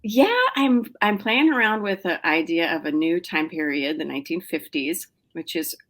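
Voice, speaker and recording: A woman's voice: on the low side, moderate pace, fairly bright tone. A middle-aged woman novelist chatting as a podcast guest, with lots of fillers, restarts and small laughs. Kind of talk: casual interview chat.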